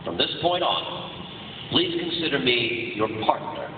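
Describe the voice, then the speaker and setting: A man's voice: medium pitch, big pitch swings. An actor playing a stately male resistance leader giving a formal, grandiloquent address in a live audio drama. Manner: formal, grandiloquent, deliberate oratory. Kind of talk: live audio drama recorded-message speech. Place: large arena stage. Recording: echoey arena, distant, muffled, noisy.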